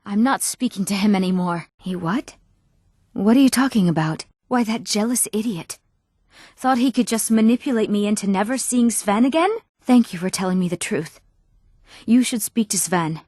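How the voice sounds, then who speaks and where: A woman playing an eager, earnest young townswoman in a fantasy video game, with expressive, clearly enunciated delivery. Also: mid-range voice, moderate pace, dry recording, clean studio sound, close to an everyday voice. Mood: indignant. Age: young adult. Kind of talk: scripted fantasy game character dialogue.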